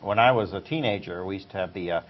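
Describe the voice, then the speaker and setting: A man from Rhode Island hosting an interview, relaxed and agreeable as he prompts his guest. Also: deep voice, fast talker. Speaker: talk show host. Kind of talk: casual interview talk. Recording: muffled.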